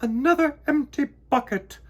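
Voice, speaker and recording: A man's voice: very high-pitched, moderate pace, voice pushed up. A man voicing an imperious, fussy queen bee for a storybook, declamatory and scolding.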